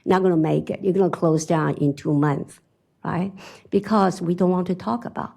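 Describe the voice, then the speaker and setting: A middle-aged woman with a strong Chinese accent giving an animated conference talk, often laughing and asking "right?". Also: on the low side, normal speed, vocal fry. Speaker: conference speaker. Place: conference hall.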